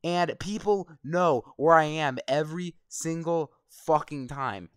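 Young male gamer, slightly high voice, hoarse and angry, swearing nonstop over a dry close mic. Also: takes it slowly, a bit bright, dry recording. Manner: angry, swearing constantly, rambling. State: voice going hoarse. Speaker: gaming vlogger. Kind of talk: profane ranting commentary over gameplay.

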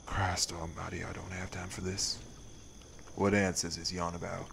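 A man's voice: low voice, little pitch movement, normal speed, creaky, a bit bright, a little hiss or hum. A man playing a weary old male wraith in an audio roleplay, with a Southern US accent and a stern, folksy manner. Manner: folksy, stern, exasperated drawl. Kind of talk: dramatic audio roleplay acting.

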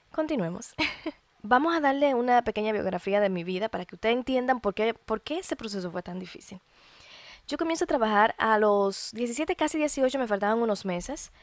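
A woman in her early thirties with a Dominican accent speaks as a podcast host in a fairly high voice. She delivers a personal monologue, heartfelt and expressive, slipping in colloquial asides. The sound is dry, with very little room in it. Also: dry recording. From Dominican Republic. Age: early 30s.

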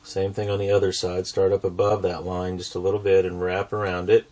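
A man's voice: low voice, flat delivery, brisk, a little mellow. An American man in his forties hosts a drawing tutorial, explaining each step simply and patiently. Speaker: drawing instructor and show host.

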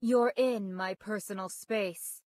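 A woman's voice: mid-range voice, steady tone, slow pace, a little mellow, dry recording, natural voice. A woman voicing a stern, composed teenage student council girl in a video game, formal and clipped in manner. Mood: stern, annoyed. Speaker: video game character voice actor. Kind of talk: scripted game voice lines.

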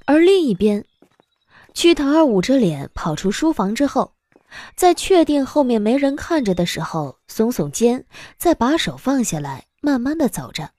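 Female audiobook narrator, high and animated, expressive romance storytelling, close dry sound. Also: no room sound.

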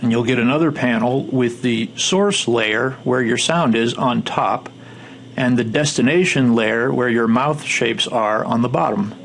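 A man giving informal, step-by-step instructional narration as a software tutorial instructor, with a gravelly voice. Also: mid-range voice, a little slow. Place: indoor voice-over for a screen recording. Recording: faint background noise.

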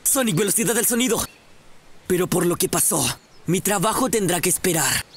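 A man's voice: high-pitched, animated, brisk, slightly rough. A man with a Latin American Spanish accent voicing a proud, vengeful young ninja assassin in an anime fan dub, theatrical, menacing and boastful. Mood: strained, determined.

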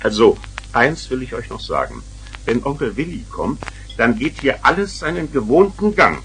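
A man's voice: medium pitch, very animated, moderate pace, a bit bright, faint music behind, rough recording. An actor playing a grumpy, strict father who blusters and scolds in a 1970s children's radio play. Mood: stern. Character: grumpy, strict father of two young girls.

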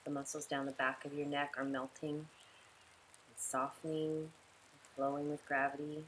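Low voiced lady doing guided meditation, soothing and slow, some noise in the background. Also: level tone, a bit bright, rough recording. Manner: soothing, unhurried, instructing in short pauses.

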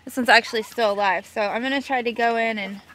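A woman in her thirties narrating a casual homestead vlog in a gentle, soft-spoken way, recorded on a handheld camera indoors. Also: a little high, fairly even intonation, fast, slight background noise. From Missouri, USA. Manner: soft-spoken, gentle, talks to animals and children. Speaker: homesteading vlogger. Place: farm building and home kitchen, indoors. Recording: music playing under the voice. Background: background music.

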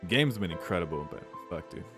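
A man's voice: low voice, expressive, quick pace. A man reading a visual novel aloud as a streamer, with casual, sweary asides. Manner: casual, profane reactions between read passages. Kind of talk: let's-play narration with casual asides. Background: game music.

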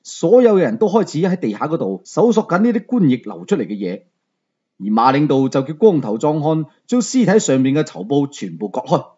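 A male storyteller with a Hong Kong Cantonese accent narrates in a colloquial, conversational style. His voice is fairly high and husky, with lively swings in pitch. The recording is a little dull and lo-fi. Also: fairly quick. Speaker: audiobook storyteller. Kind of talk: dramatic first-person story narration.

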